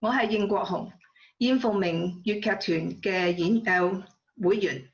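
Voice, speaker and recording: A woman giving a short, brisk, modest self-introduction as an opera company coordinator. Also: medium pitch, highs cut off.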